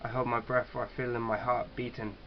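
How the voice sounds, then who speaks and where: A man with a middling-pitched voice reads visual novel narration out loud. He stumbles, rereads phrases and slips in casual swearing. The sound is dull and lo-fi, with faint noise behind him. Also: flat delivery. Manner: halting reader who stumbles and rereads. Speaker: gaming video host.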